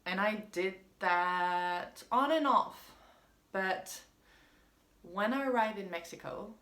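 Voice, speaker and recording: A woman's voice: medium pitch, takes it slowly, fairly bright tone. A woman whose first language is French, telling a casual personal story in a rambling, chatty way with frequent ums. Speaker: vlogger. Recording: roomy echo.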